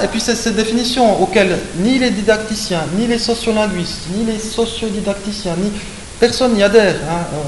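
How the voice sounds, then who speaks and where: A man from Switzerland giving an academic lecture, explaining points with frequent hein and euh and correcting himself as he goes. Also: high-pitched. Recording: echoey room with faint hiss.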